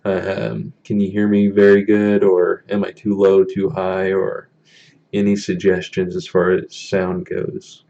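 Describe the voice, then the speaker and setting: A young man with a deep voice chatting casually on a live stream from a small room, halting with many ums as he reads the chat. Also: monotone, gravelly, mellow, muffled recording. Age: young adult.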